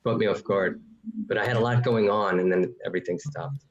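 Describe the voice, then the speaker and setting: A man's voice: fairly low pitch, a little fast. A man, an actor, chatting casually on a video call, thinking aloud in a hesitant way. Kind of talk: casual group chat. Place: at home on a group video call. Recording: dull lo-fi video call audio.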